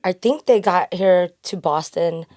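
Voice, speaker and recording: Young kc woman, bit low voice, chatty and upbeat, podcast guest. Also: unhurried, a little mellow. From Kansas City. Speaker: podcast guest, bottle attendant. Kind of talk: casual chat.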